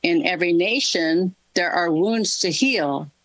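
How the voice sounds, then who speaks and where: A woman reading a scripted tribute in a formal, solemn and deliberate way. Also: fairly low pitch, a bit bright, no echo, rough recording. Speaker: ceremony reader.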